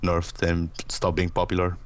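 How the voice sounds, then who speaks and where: A man's voice: low voice, monotone, moderate pace. A man giving casual, wry play-by-play commentary on a video game.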